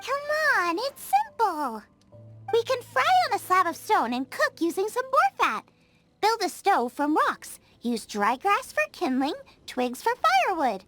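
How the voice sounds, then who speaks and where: A woman voicing a bubbly young female chef in a video game dub, eager, food-obsessed and quick to get excited. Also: very high voice, exaggerated voice. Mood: enthusiastic. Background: soft game music.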